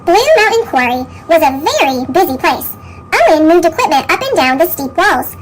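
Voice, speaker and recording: A woman reading a children's story aloud in a squeaky, pitch-shifted chipmunk voice. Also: big pitch swings, moderate pace, poor audio. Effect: sped-up chipmunk pitch shift. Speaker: storybook reader.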